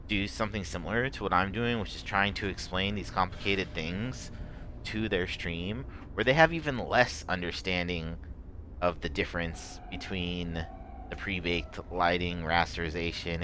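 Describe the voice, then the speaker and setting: A man streaming a game, talking in a rambling, halting way with many fillers, his voice a bit rough and strained. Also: low voice, bright, forceful tone, a little hiss or hum. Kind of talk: casual gameplay commentary.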